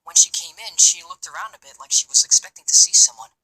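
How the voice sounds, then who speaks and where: A man voicing a nervous, soft-spoken young male college student in a visual novel game, with a breathy, hesitant delivery. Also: a little high, animated, fast, low-quality recording. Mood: awkward, apologetic. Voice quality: breathy, whispery.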